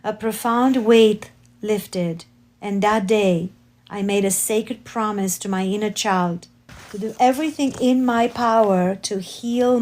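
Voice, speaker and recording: Slow-reading woman with a Romanian accent. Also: mid-range voice, a little mellow. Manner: slow, deliberate reading with occasional self-corrections. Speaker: author reading her book. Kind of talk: slow reading of a spiritual memoir.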